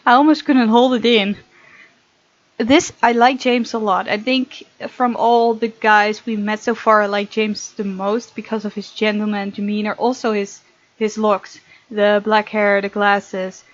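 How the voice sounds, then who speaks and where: A woman hosting a gaming video, reading visual novel text aloud and chatting casually in an expressive way. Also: mid-range voice, unhurried, dry recording, highs cut off.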